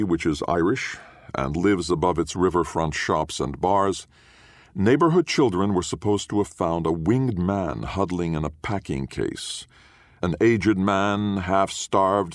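A man reading literary fiction as an audiobook narrator, in a measured, solemn storytelling manner. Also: low-pitched, dry recording, clean studio sound.

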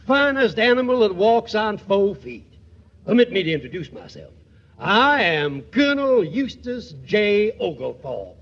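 A blustering old southern colonel. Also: male voice, sits high, very animated, measured pace, fairly bright tone, exaggerated voice. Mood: courtly, effusive. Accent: American Southern. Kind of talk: 1940s radio comedy acting. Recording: dull lo-fi old radio recording.

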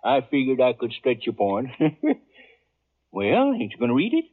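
An actor playing a chatty, nosy old storekeeper with a rural Western American accent in a 1950s radio Western. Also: male voice, mid-range voice, wildly swooping pitch. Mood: chatty, teasing. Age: older. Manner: chatty, rambling, drawn-out folksy remarks. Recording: thin, muffled 1950s radio recording.

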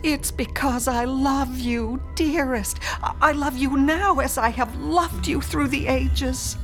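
A woman's voice: high voice, slow pace, faint music behind. A woman playing a possessive, scornful spirit medium in a radio horror drama, with grand, dramatic phrasing. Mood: intense, bitter longing.

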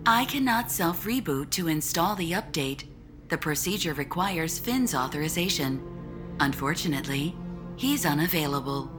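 A woman playing a calm, polite ship's computer in an audio drama, speaking in formal, measured, even tones. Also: deep voice, over music. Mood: calm, matter-of-fact.

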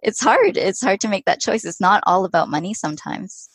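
Female guest from Vancouver, husky with fry, candid. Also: mid-range voice, animated, fairly quick, no echo. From Vancouver, Canada. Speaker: podcast co-host and guest. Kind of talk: casual panel discussion.

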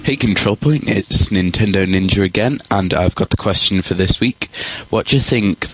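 Male listener message, low-pitched, dry close sound, posing a question. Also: normal speed, a bit bright, husky, no echo, rough recording. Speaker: listener sending a recorded question.

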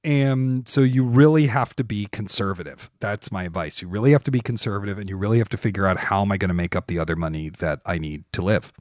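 A man's voice: fairly low pitch, fast talker, few pauses, a little mellow, no room sound, clean studio sound. A man hosting a podcast in casual conversation, talking in an emphatic, explanatory way. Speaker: podcast host and writer.

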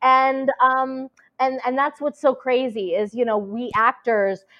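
A woman actress talks as a guest, her voice fairly high. She rambles in a chatty way, with lots of fillers and restarts. The audio sounds dull and lo-fi. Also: flat delivery, measured pace. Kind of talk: casual interview chat.

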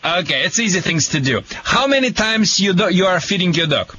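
Male radio advisor, higher-pitched and forceful, blunt and repetitive. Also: lively intonation, brisk, husky. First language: not English. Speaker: radio show dog trainer giving advice. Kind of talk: call-in radio advice. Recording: slightly dull radio sound with music.

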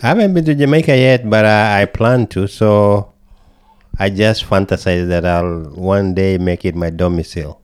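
A man from Kenya, a podcast guest who chats in a slow, deliberate way with deadpan humor. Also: fairly low pitch, clear, resonant tone, no room sound, clean studio sound. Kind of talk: casual interview.

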